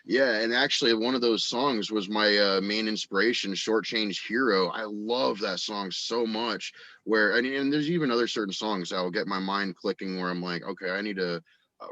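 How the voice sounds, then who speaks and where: A man's voice: lowish voice, fairly even intonation, moderate pace, sharp tone, no room sound. A man chatting casually as an interview guest, rambling enthusiastically about his creative work. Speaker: guest, audio drama writer and voice actor.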